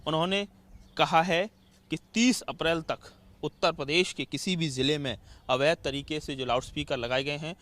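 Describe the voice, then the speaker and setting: A man's voice: a little high, a bit bright. A man reporting the news in an unscripted way, correcting himself mid-sentence. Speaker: field reporter.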